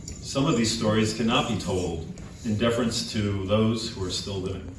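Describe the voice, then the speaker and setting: A middle-aged man reading his own literary short story aloud at a live indoor event, in a measured way with pauses between short phrases. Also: on the low side, background noise. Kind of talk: literary spoken-word story reading with musical accompaniment. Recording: very echoey room, distant microphone. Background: live guitar accompaniment.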